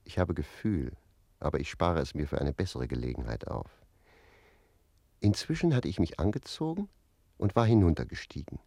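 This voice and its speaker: A sly manservant in a radio drama, recounting with unhurried irony. His voice is extremely deep and creaky, recorded close and dry. He comes across as cheeky and worldly. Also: very animated, mellow, no room sound, full character voice. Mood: wry, sly. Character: sly, cheeky male servant, worldly storyteller. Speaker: actor. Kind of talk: German radio drama acting.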